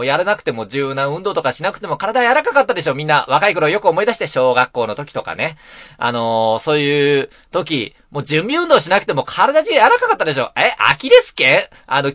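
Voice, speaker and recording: A man's voice: a little high, fairly bright tone, no room sound. A man in his thirties hosting an internet radio show, rambling casually to listeners in a musing, self-deprecating way.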